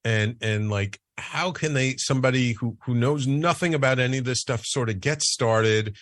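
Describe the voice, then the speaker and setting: A man hosting a talk show, animated, with frequent stammers and restarts. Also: mid-range voice, moderate pace, no echo. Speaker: host.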